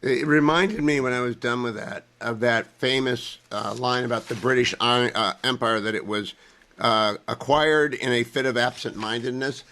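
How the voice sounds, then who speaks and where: A male talk radio host with a middle-pitched voice. He interviews his guest conversationally, stacking asides and anecdotes into long questions before landing on the point. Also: steady tone, normal speed, pushed and bright.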